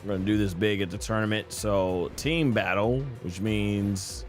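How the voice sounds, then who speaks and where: A man's voice: fairly low pitch, moderate pace, faint music behind. A man streaming a video game, chatting informally with his viewers and commenting as he plays. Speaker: gaming streamer and content creator. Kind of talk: casual live stream commentary, reading viewer chat.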